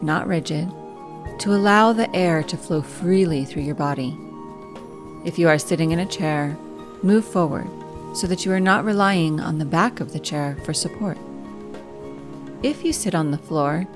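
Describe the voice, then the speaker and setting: Female meditation guide, lower register, calm instructional delivery, clear music bed. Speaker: podcast co-host and meditation guide.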